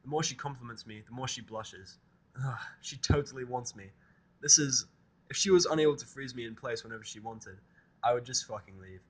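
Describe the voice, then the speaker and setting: A man's voice: mid-range voice, fairly quick, slightly rough. Man reading fan fiction, dry narration. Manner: first-person narration, dry and irritable. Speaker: reader.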